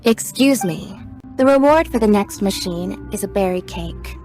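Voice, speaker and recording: A woman voices a young machine tinkerer from a video game. The character is matter-of-fact and sparing with words, in a deadpan middle register, with soft music behind. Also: medium pitch, measured pace, close to an everyday voice.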